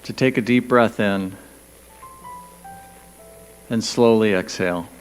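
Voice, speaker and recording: A man leading a guided meditation, speaking gently and soothingly in short, spaced phrases with pauses. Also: on the low side, low-quality recording. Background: background music.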